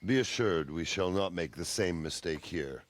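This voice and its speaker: An actor playing a grave, resolute medieval commander in a video game, formal and authoritative. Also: male voice, sits low, animated, normal speed. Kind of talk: dramatic video game acting.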